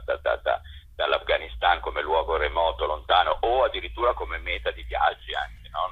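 A man's voice: extremely low pitch, breathy edge. A man, a theatre co-director, speaking as a guest in a radio interview by phone, hesitant and often repeating words as he searches for them. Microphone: telephone. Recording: tinny phone line.

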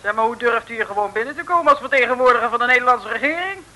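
An actress in a 1950s radio serial playing a perky, eager young detective's assistant who speaks politely with many exclamations. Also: female voice, fairly high pitch, animated, rapid-fire, bright, forceful tone. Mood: chirpy.

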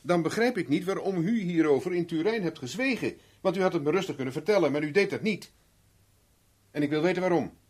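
An actor playing a self-assured, probing private investigator with dry irony in a 1970s radio drama. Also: male voice, a little high, animated, fairly quick, a little mellow. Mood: pressing, insistent.

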